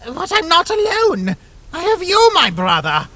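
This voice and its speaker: A man playing an earnest, dramatic, downhearted young skeleton in an animated comic dub, speaking in formal, theatrical phrases. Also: extremely high pitch, a little slow, slight background noise, exaggerated voice.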